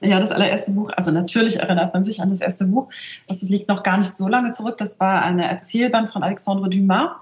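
Middle-aged woman from north germany, thinks out loud, keeps adding "ne?", sounds kinda roomy and dull like a remote line. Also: medium pitch, fairly even intonation, fairly quick. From northern Germany, near Celle. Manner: thoughtful, searching, frequent "ne?" and "also". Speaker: literary translator, interview guest. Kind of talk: reflective interview talk.

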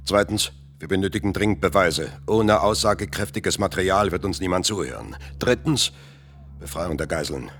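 A methodical male leader in a radio drama. His voice is low and deep. He briefs with brisk authority, point by point. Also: lively intonation, fairly bright tone. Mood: resolute, commanding. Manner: structured, commanding, brisk.

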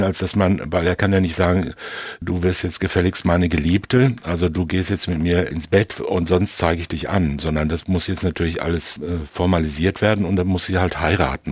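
Low husky guy debating a movie, keeps pressing his point, dry close sound. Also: fairly even intonation, moderate pace, no echo. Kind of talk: conversational debate about film.

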